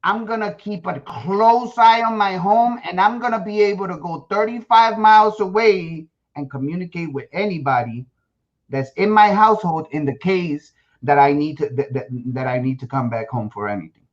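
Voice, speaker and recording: A man's voice: high voice, moderate pace. Guatemala-born guy on a live stream, cocky and jokey, trips over words a lot, kinda muffled audio. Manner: boastful, joking, with stumbling restarts. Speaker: survival-prep livestream host. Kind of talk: casual live-stream chat to viewers.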